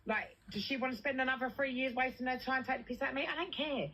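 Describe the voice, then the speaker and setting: A woman's voice: high-pitched, quick pace, bright, forceful tone. A British woman chatting casually and venting in a blunt, sweary, colloquial way. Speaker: livestream host.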